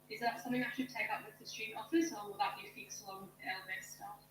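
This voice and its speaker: A woman's voice: mid-range voice, fairly even intonation, racing, reverberant, distant mic, noisy background. A woman, a student, politely asking a question in class.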